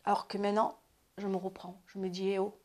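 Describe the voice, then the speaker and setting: A woman in her fifties gives self-care advice in a loose, chatty way. She repeats herself, restarts sentences and lets her voice drop into quiet asides. Her pitch sits in the middle range for a woman. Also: level tone, a little fast. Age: 50s. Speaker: advice channel host. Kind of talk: casual spoken advice.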